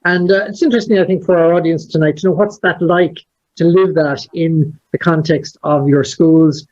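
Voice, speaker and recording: Measured man hosting, lo-fi. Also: a little high, steady tone, darkish tone. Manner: measured, hesitant with fillers. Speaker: host. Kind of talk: hosted conversation. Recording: dull lo-fi sound.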